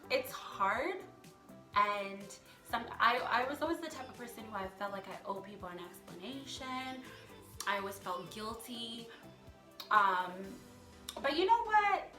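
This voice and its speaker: A woman's voice: on the high side, expressive, a little slow, pushed and bright. A young adult woman vlogger talking casually and motivationally to her followers, chatty and candid, with emphatic turns of phrase. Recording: echoey room, music underneath. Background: music.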